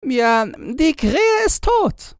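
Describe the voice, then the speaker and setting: A man's voice: extremely high pitch, very animated, drawn-out words, dry recording, clean studio sound, pitched above the performer's own voice, heavily acted. A man voicing a brash, cheeky young robber girl in a fairy-tale audiobook, blunt, teasing and bossy. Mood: blunt, gossipy. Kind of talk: storybook character voice in an audiobook.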